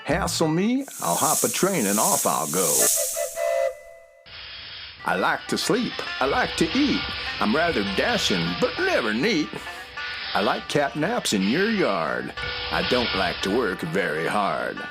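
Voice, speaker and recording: Breezy drifter among the stars, played by a man: folksy, wildly swinging pitch, clear music underneath. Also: wildly swooping pitch, takes it slowly, exaggerated voice. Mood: jaunty, sing-song. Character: carefree hobo constellation, dashing scruffy male drifter. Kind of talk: children's radio musical acting.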